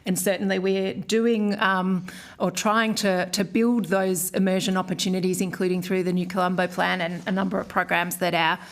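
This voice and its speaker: A woman giving formal testimony to a parliamentary committee. She speaks in a measured way with frequent ums and uhs. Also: on the low side, little pitch movement. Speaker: official witness.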